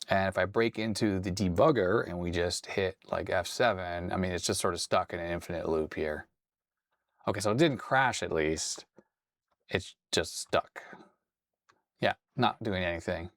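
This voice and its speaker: A man hosting a hands-on tech video with a slightly hoarse, raspy voice, thinking aloud in a tentative, self-deprecating way. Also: low-pitched, unhurried, no echo. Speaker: host. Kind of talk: casual hands-on tech walkthrough.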